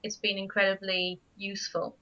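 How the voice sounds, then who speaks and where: A woman's voice: mid-range voice, flat delivery, normal speed, pushed and bright. A woman from Spain, a native Spanish speaker, answering interview questions about her writing with frequent hesitant restarts. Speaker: guest writer. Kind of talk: radio interview. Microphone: studio microphone. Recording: muffled radio studio audio.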